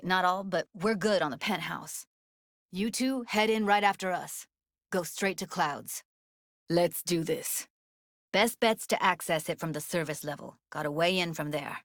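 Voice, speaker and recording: Casting: actress as young female techie for a game, lowish voice, blunt, guarded, streetwise, naturalistic and intimate, dry close recording. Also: no echo, natural voice. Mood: focused, instructing. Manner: casual, clipped, slangy, intimate delivery. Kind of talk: naturalistic video game dialogue acting.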